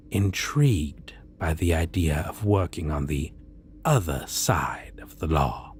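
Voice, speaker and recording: A man playing a suave, courteous yet menacing supervillain interviewer in a soft-spoken, deliberate ASMR roleplay. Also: lowish voice, a little hiss or hum. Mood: intrigued, teasing menace. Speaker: voice actor.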